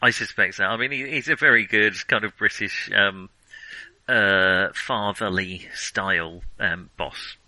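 A man's voice: fairly low pitch, a little slow, sharp tone, no echo, poor audio. A man co-hosting a casual film discussion, talking in a hesitant, discursive way. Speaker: podcast co-host.

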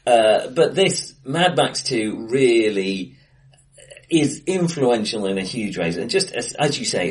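A man in a casual film discussion, talking in a hesitant, rambling way with frequent restarts, recorded in a bright-sounding room. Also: lowish voice, far from the mic. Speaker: co-host of a film discussion podcast. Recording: bright echoey room, off-mic.

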